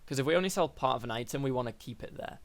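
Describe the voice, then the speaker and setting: Slightly croaky young man thinking aloud. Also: medium pitch, lively intonation, racing. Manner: thinking aloud, rambling with fillers and self-corrections. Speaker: programming tutorial host. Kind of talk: casual screen-recorded coding tutorial.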